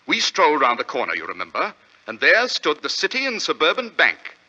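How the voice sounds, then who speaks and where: A man acting a brilliant, self-assured detective in a 1940s radio drama, explaining his deductions in a crisp, theatrical manner. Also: fairly high pitch, huge pitch swings, brisk, sharp tone, heavily acted. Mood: confident, explanatory. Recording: dull, lo-fi vintage radio recording.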